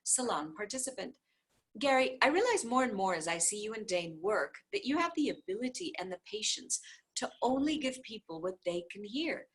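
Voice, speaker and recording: Woman reader, husky tone, mid-pitched, reads a book of conversations aloud plainly. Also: moderate pace, poor audio. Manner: reads speaker labels and repeated clearing phrases in an even cadence; occasional soft offhand asides. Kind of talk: reading a dialogue book aloud.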